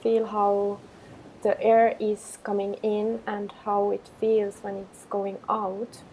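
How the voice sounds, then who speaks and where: A woman teacher leading a guided meditation in a calm, unhurried manner, giving simple instructions with gentle pauses. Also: medium pitch, slight background noise, low-quality recording.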